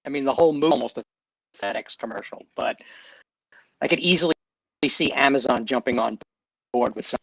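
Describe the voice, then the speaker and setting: A man with a gravelly, husky voice of middling pitch, speaking haltingly in a casual group chat and often starting sentences over. The sound is dry. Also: a bit bright, no echo, rough recording. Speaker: guest on a chat show.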